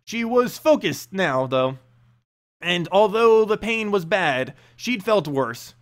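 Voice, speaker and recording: A man narrating a fantasy story aloud in an expressive, dramatic storybook style. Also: sits high, slow. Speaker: narrator and channel host.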